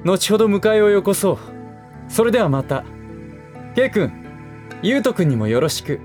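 An actor playing a scheming businessman in a Japanese voice drama, speaking politely and gently with teasing condescension. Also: sits high, sing-song, normal speed, faint music behind. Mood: sly, pleasant. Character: smooth, scheming adult male relative and businessman, teasing.

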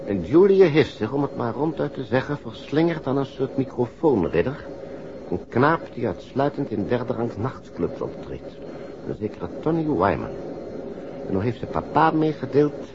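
A man's voice: medium pitch, sing-song, normal speed. An actor playing a busy, weary businessman who grumbles bluntly and colloquially in a 1960s Dutch radio drama. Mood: indignant. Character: busy, weary businessman fretting over a family quarrel. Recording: dull, noisy old radio recording.

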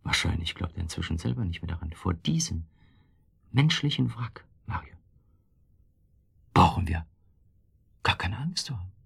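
An actor playing a guilt-worn, brooding husband in a German radio drama, speaking in a halting, bitterly ironic way with a husky, gravelly voice. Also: male voice, sits low, lively intonation, a bit bright, full character voice. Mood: weary, confessional.

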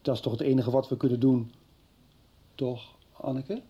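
A man playing a calm, reassuring middle-aged friend in a radio soap. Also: mid-range voice, normal speed, slightly rough, lo-fi sound, lightly acted.

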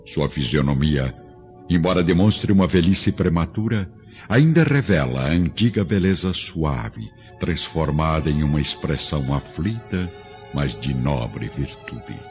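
Very deep, solemn male narrator. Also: animated, a little slow, a little mellow. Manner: solemn, formal, theatrical. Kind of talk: dramatic scripted radio drama narration. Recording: dull lo-fi audio with music behind.

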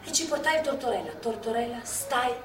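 A woman's voice: fairly high pitch, fairly quick, close to an everyday voice. An actress playing an elderly former partisan woman, acting out her wartime memories in a stage monologue. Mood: wry. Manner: animated, chatty, acts out past scenes. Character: elderly woman, former partisan hospital helper, lively storyteller. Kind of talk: stage monologue in a radio play. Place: theatre stage. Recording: echoey stage from far off, faint hum.

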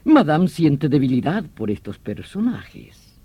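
An actor in a radio drama playing a vehement veteran detective, explaining things in an emphatic, theatrical manner. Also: male voice, on the high side, very animated, takes it slowly, breathy edge, no echo, highs cut off, exaggerated voice. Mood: explanatory. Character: vehement veteran police detective obsessed with hunting a vampire villain.

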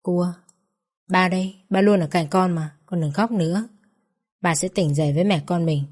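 A woman voicing a grieving young mother who talks softly and pleads close to tears. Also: lowish voice, normal speed, natural voice. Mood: soothing. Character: grieving young mother at her husband's bedside.

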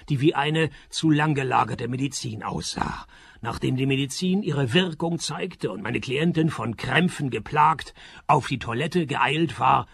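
An actor playing a sardonic, world-weary private detective in a radio play, deadpan and dry, with a raspy, gravelly voice. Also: male voice, fairly high pitch, exaggerated voice. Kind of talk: radio play dialogue and deadpan first-person narration.

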